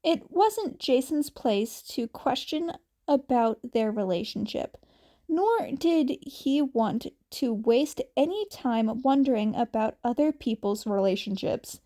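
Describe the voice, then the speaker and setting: A woman reading fan fiction aloud as an amateur narrator, in an informal, slightly halting way. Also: on the high side, warm tone. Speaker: amateur podfic reader.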